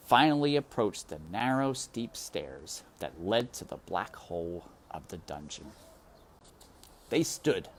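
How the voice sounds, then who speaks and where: Slow, highly expressive storybook narrator. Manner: deliberate, dramatic phrasing. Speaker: storybook reader. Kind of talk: expressive scripted narration, children's read-aloud.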